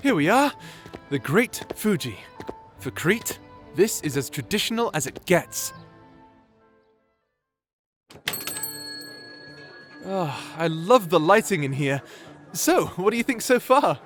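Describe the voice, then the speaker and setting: A man's voice: sits high, very animated, unhurried, fairly bright tone, soft background music, full character voice. A man playing a shy, nerdy young wizard in a fantasy audio roleplay, stammering, bashful and apologetic. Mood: eager. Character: shy nerdy young male wizard, flustered, eagerly polite.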